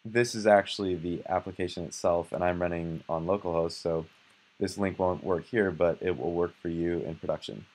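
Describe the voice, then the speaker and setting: A young man casually narrating a screencast walkthrough of his software, relaxed and conversational, with fillers and self-corrections. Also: low voice, moderate pace. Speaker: software developer demoing his app.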